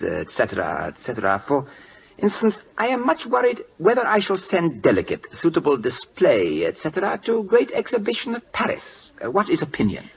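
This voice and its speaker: A man's voice: a little high, vocal fry. Pompous Siamese king, swooping voice. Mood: weary, fretful. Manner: halting, pompous, broken English. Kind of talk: old-time radio drama acting. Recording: muffled vintage radio broadcast.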